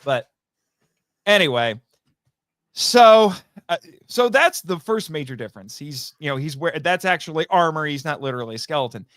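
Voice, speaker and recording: A man with a voice a little higher than average speaks in a casual, enthusiastic interview style. He is chatty and explanatory, often doubling back to correct himself. The sound is dry, with very little room echo. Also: lively intonation, moderate pace, fairly bright tone. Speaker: podcast guest, voice actor.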